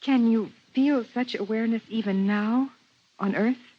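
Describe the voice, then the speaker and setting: Thoughtful wife, vintage radio sound. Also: female voice, a little high, unhurried. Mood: curious. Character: thoughtful, uneasy biologist wife narrating her story. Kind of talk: 1950s radio drama, first-person narration and dialogue. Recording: dull vintage 1950s radio recording.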